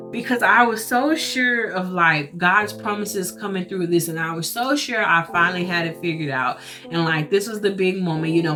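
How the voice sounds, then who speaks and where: A woman with a loud, carrying voice telling a personal faith story in casual, earnest and emphatic talk with rhetorical asides. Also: lowish voice. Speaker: churchgoer sharing a personal testimony. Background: soft guitar music.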